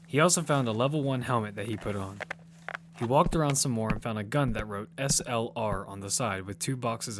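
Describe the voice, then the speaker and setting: A man's voice: medium pitch, noisy background. A man giving scripted narration for a piece of audio fiction. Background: music.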